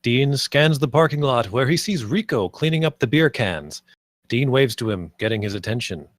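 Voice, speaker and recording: A man's voice: mid-range voice, expressive, normal speed, no room sound. A man reading stage directions as the narrator at a screenplay table read.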